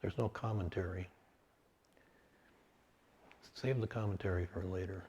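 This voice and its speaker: A man leading a guided meditation, speaking calmly and in measured short phrases with long pauses between them. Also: deep voice, lo-fi sound, a little hiss or hum.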